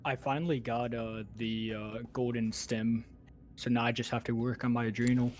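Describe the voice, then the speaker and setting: A man's voice: mid-range voice, level tone, moderate pace. A man chatting casually with fellow players over gaming voice chat. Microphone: online voice chat.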